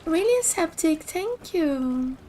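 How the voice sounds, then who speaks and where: A woman's voice: very high-pitched, big pitch swings, slow, dark tone, slight background noise. Chatty Brazilian woman streamer. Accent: Brazilian Portuguese. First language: Portuguese. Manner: chatty, rambling, thinks aloud. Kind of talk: casual gameplay commentary.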